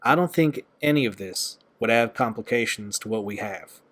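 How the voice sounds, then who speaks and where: Man acting as kind, playful young guy, animated. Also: on the low side, moderate pace. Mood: earnest, caring. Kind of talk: audio drama acting.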